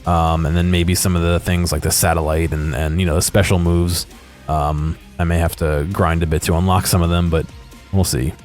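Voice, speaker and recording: Deep-voiced man giving rambling game commentary. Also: flat delivery, moderate pace, darkish tone, faint music behind. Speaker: video creator commentating on game footage. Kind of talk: unscripted gameplay commentary.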